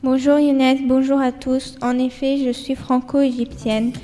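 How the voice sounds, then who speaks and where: A woman from Egypt, a student guest, reading a prepared personal account carefully and in a measured way. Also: high-pitched, level tone, mellow.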